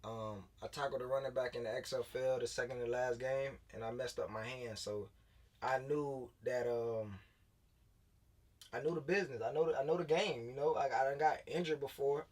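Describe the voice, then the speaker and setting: A man's voice: medium pitch, fairly even intonation, a little fast, far from the mic. Laid-back man in his late twenties, off-mic. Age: late 20s. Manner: loose, laid-back storytelling with many fillers and restarts. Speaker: guest, former pro football player turned high school coach. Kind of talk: casual interview talk.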